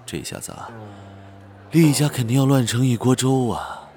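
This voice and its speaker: An actor playing a chatty, nosy, worldly friend of a rich heir, casual and teasing in audio drama dialogue. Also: male voice, medium pitch, very animated, moderate pace, noisy background. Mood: concerned gossip.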